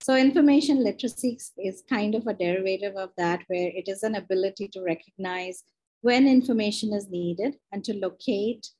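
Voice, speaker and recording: A woman's voice: mid-range voice, fairly quick, mellow, rough recording. A young adult woman from India, a university librarian, presenting a webinar over a video call in a conversational way, with many fillers and self-corrections.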